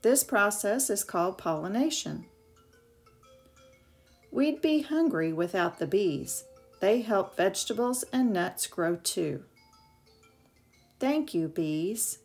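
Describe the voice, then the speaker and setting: A woman reads a picture book aloud at a slow, unhurried pace. Her pitch sits in an average range for a woman, and her phrasing is expressive and deliberate, suited to young listeners. Soft music plays faintly behind her. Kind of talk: read-aloud children's storytelling.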